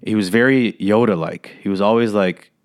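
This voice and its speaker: Vegas guy in his 30s, lowish voice, chatty comedian rambling on, cussing here and there. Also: moderate pace. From Las Vegas, USA. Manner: rambling, swears casually, laughs mid-sentence. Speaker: guest comedian and actor. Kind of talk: casual interview storytelling.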